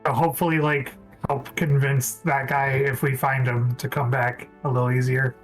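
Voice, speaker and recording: A man in a tabletop game, talking relaxed through his character's plans. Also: mid-range voice, normal speed. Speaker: player. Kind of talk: casual tabletop roleplay. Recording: background music.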